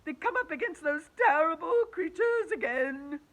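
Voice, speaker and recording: Woman doing a shrill, terrified earthworm. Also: expressive, moderate pace, a bit bright, heavily acted. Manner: shrill, wailing. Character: shrieking blind female-voiced earthworm, fearful.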